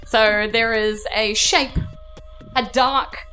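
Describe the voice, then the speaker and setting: High-voiced New woman game master. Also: steady tone, takes it slowly, sharp tone. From New Zealand. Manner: casual, playful, hesitant rephrasing. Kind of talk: improvised narration of a live tabletop game. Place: live on stage at a club. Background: music under the voice.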